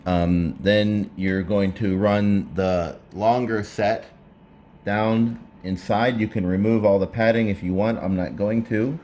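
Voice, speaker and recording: A man narrating a casual hands-on how-to, explaining step by step in a halting way, recorded indoors. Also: lowish voice, fairly even intonation, a little mellow. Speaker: presenter of a how-to video. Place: indoors, living room at a table. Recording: clear background room noise.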